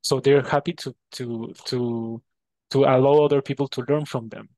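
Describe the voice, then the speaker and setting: Peruvian man, halting conversational delivery. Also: medium pitch, steady tone, a little mellow, no room sound. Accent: Peruvian Spanish. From Peru. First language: Spanish. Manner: halting, often repeats words. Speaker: interview guest. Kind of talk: conversational interview answers.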